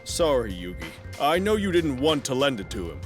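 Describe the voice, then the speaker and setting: A man's voice: medium pitch, very animated, moderate pace, soft background music, lightly acted. A man playing a friendly, earnest archaeology professor who explains things kindly in an audio drama. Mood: apologetic.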